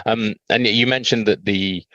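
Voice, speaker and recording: Dull, dry conference-call audio carries a man's deep voice. He is an analyst putting a question on an earnings call, feeling his way through it with halting, repeated words. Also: steady tone, bright, forceful tone, dry recording.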